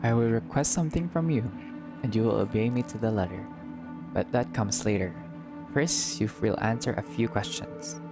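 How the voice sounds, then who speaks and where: Guy doing a smug violent mob boss from a game, lowish voice, fake polite and threatening, music behind. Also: natural voice. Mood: commanding, mocking. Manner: slow, domineering, mock-polite threats. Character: menacing, bigoted male crime boss, smug and violent. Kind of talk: visual novel villain dialogue read aloud. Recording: loud music under the voice.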